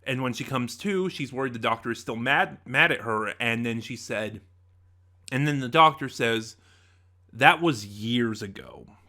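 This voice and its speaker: Bright-voiced chatty male reviewer. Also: mid-range voice, measured pace. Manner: chatty, animated, rambling asides. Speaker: podcast reviewer. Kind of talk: unscripted review talk.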